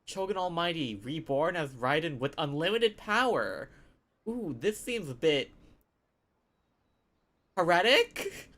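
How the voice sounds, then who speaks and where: A woman's voice: sits low, expressive, slow pace, pauses often, a bit bright. A woman streamer giving casual, giggly live game commentary, reacting in short bursts. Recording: faint game music behind.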